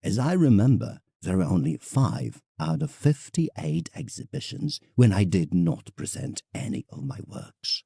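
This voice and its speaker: An actor playing a conceited, eccentric older painter in an audio drama, speaking in a husky, gravelly voice with rambling asides. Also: male voice, mid-range voice, very animated, measured pace, hardly any pauses, dark tone, no room sound, clean studio sound, exaggerated voice. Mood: boastful. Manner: pompous, rambling, hesitant asides. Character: conceited, eccentric older male English painter writing letters. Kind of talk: audio drama letter monologue.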